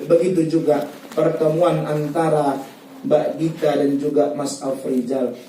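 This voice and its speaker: A man from Medan giving a lively, joking wedding sermon, talking straight to the guests. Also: a little high, slight background noise. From Medan, North Sumatra. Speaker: preacher giving wedding advice. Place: wedding venue hall. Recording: echoey hall, voice from far off.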